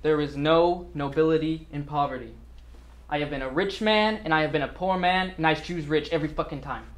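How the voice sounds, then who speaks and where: A man's voice: high-pitched, lightly acted. A man performing a motivational tirade as a brash, domineering rich sales boss, cocky and emphatic.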